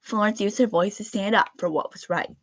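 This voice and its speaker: A woman reading a children's picture book aloud in an expressive storytelling style. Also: medium pitch, brisk, a little hiss or hum. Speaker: read-aloud narrator of children's books.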